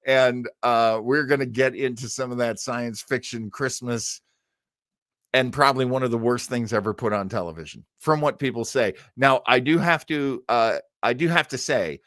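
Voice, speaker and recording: A man's voice: mid-range voice, normal speed, a bit bright, no room sound, clean studio sound. A middle-aged or older American man hosting a live online show, chatting casually with rambling, chuckling asides. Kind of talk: casual livestream chat and announcements.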